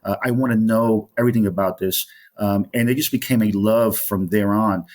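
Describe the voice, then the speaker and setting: A man's voice: on the low side, fairly even intonation, normal speed, a little mellow. A middle-aged man from Colombia, a wine specialist being interviewed, talking in a rambling way with many fillers and restarts. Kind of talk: interview conversation.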